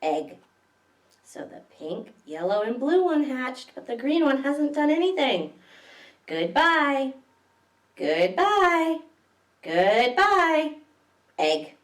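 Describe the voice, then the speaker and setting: A woman's voice: high-pitched, very animated, takes it slowly, pauses often. A woman reading picture books aloud to young children as a library story time host, expressively, with questions to her listeners. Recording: echoey room, distant microphone.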